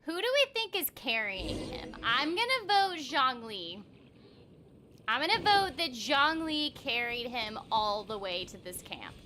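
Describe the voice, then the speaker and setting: Very high-pitched, playful woman streamer. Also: sing-song, unhurried, sharp tone, slight background noise. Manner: playful, giggly. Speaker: game streamer, voice actor. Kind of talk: casual livestream chat while playing. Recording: game music behind the voice. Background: video game music.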